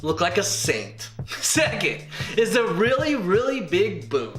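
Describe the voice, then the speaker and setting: High-pitched, animated male review host. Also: takes it slowly, echoey room, over loud music. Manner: playful, punning, showman-like. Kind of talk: scripted comedic review narration.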